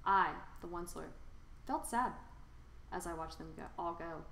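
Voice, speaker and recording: A woman reading a children's storybook aloud in an expressive, theatrical and emphatic storytelling manner. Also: on the low side, normal speed, gravelly. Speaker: storybook reader. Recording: roomy, echoing sound.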